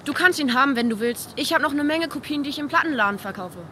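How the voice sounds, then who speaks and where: A woman's voice: high-pitched, lively intonation, fast, pushed and bright, a little hiss or hum. A woman playing an eager, imaginative young boy in a video game, with a youthful voice. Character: eager, imaginative young boy who loves comics and exploring. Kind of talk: naturalistic video game dialogue.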